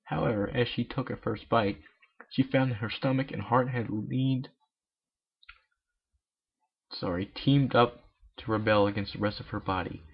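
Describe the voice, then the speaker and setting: A man's voice: mid-range voice, unhurried, vocal fry, long pauses, breathy edge. A man reading fan fiction aloud in an informal, irreverent way with joking asides, on a muffled home recording.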